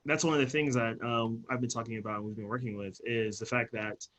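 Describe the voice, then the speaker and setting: A man on a webinar panel who builds robots, thinking out loud in a relaxed, rambling way. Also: medium pitch, fast talker, low-quality recording. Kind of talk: casual panel discussion on a video call.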